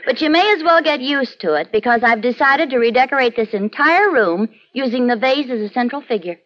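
A woman's voice: high-pitched, animated, fairly quick. A woman playing a cheerful, coaxing wife in a 1940s radio sitcom, laughing often. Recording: lo-fi old radio with studio audience and hiss. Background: studio audience laughter.

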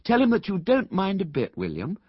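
A man's voice: high-pitched, wildly swooping pitch, brisk, husky, no echo, dull audio, pitched above the performer's own voice, full character voice. A man voicing a fussy, kindly, oblivious mother who soothes and gushes, in a story reading. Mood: fluttering. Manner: gushing, soothing.

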